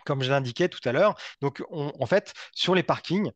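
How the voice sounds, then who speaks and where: A man giving a semi-improvised technical presentation, speaking haltingly and often repeating and rephrasing his words. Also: on the high side, expressive, no room sound, dull audio. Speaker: presenter from a local energy body.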